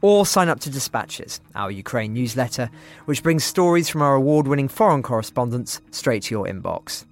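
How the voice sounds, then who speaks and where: A man's voice: mid-range voice, animated, moderate pace. A man hosting a podcast, reading a scripted outro. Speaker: podcast host. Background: music bed.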